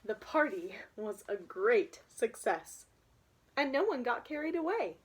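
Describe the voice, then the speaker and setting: A woman's voice: a little high, very animated, unhurried, slightly rough. A young woman reading a children's picture book aloud, with chatty asides to her listeners. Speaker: storybook reader.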